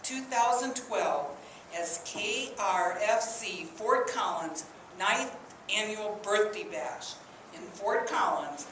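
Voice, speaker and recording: A woman reads an official proclamation in an echoey council chamber, with faint noise behind her. She speaks as a mayor, in a measured, ceremonial style at a middling pitch. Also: a bit bright. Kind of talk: formal read-out proclamation.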